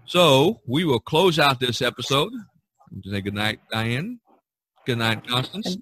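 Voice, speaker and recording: A man's voice: medium pitch, animated, slow pace, pushed and bright, breathy edge, no room sound. A man hosting a casual artists' podcast, talking in a rambling, easygoing way and laughing often. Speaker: podcast host. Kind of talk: casual chat among artists.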